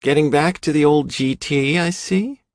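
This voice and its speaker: A male narrator plays a confident young woman, his pitch lifted well above his own. She sounds light, teasing and defiant, in a dry audiobook recording. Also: moderate pace, no room sound, natural voice.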